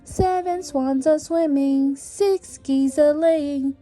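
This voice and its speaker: High-voiced woman reading slowly to children. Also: mellow. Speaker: children's storybook reader. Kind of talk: sing-song read-aloud of a Christmas verse for children. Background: background music.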